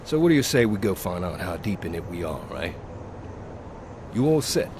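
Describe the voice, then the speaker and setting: An actor playing a weary, middle-aged male pilot in a video game, sardonic and dry, dropping low at the ends of lines. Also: very animated, normal speed, background noise. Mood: wry. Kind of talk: video game cutscene dialogue.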